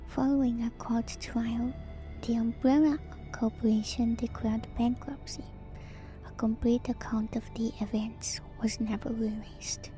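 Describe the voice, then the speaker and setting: Female ASMR streamer, breathy whisper, very even and unhurried delivery, reading lore with gentle casual asides. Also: fairly high pitch. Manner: gentle, unhurried, musing, repeats phrases softly. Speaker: streamer and host.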